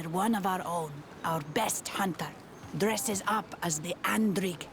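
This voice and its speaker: A woman's voice: mid-range voice, a little hiss or hum, close to an everyday voice. A woman playing a wary, brusque villager of a fantasy island clan in a video game.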